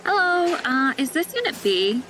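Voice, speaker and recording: A woman's voice: high voice, very animated, moderate pace, pushed and bright, slight background noise, exaggerated voice, poor audio. A woman playing a hesitant, polite young nurse on her first day. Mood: unsure. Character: nervous young female new nurse. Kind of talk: audio drama acting.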